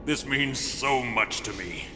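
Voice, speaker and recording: An actor playing a burly, earnest bodyguard in a game, speaking in a halting, reverent, emphatic way. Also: male voice, mid-range voice, noisy background, lightly acted. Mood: awestruck. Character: burly male bodyguard, earnest, awestruck admirer. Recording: long hall reverb, game ambience behind.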